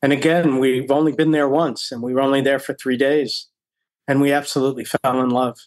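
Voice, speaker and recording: A man's voice: mid-range voice, little pitch movement, fairly quick, dry recording. An older American man chatting casually as an interview guest and telling stories from his own experience. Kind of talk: casual storytelling chat.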